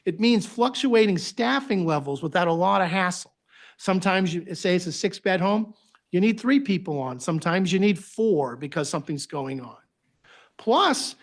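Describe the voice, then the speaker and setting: A man with a Boston accent, giving a conversational presentation to a board at a public meeting, with phrase ends that trail off. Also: sits high. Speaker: agency director presenting to a board.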